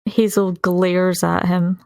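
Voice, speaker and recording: A woman's voice: fairly low pitch, level tone, slow pace, vocal fry, dry recording, studio quality. A woman playing a tabletop roleplaying game, casually narrating and speaking for her character.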